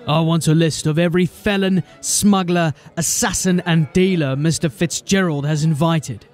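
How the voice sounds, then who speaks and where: A man's voice: fairly high pitch, fairly even intonation, normal speed, darkish tone, faint music behind, lightly acted. A man playing a blunt, wry city watch officer in a fantasy audio drama. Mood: wry, playful.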